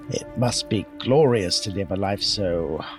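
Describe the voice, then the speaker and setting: A rich retired executive out for thrills, with a low voice. He is florid and effusive, savoring his words in a sci-fi radio play over faint music. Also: gravelly, heavily acted. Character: gushing wealthy retired executive, eager first-time adventurer. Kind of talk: audio drama acting.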